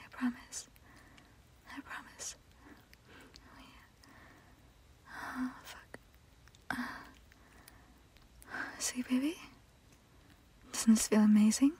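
Woman, soft breathy whisper, husky and dark, unhurried delivery. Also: a little high, fairly even intonation, low-quality recording. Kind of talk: intimate whispered roleplay audio.

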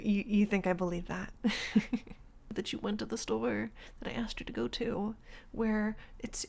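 A woman's voice: medium pitch, creaky. A woman playing a bossy scolding girlfriend. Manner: scolding, mocking, swings between soft whispers and loud outbursts; frequent laughter while talking. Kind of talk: audio roleplay acting.

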